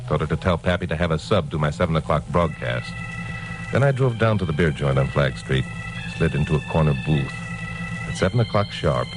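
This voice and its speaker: A deep-voiced American radio sleuth, wry and cocky. He talks in breezy hard-boiled slang, like an old detective serial, with music running clearly behind him. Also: poor audio.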